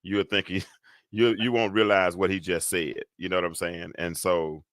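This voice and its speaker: A man's voice: deep voice, flat delivery, moderate pace, a bit bright, dry recording. A man hosting a casual live talk, rambling with lots of fillers and restarts. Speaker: host.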